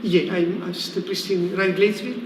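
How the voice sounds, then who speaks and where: Priest, male, Italian accent, high voice, hesitant conversational delivery, reverberant room. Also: fairly even intonation, a little fast. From Italy. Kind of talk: conversational religious talk in a livestream interview. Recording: echoey reverberant room with faint noise.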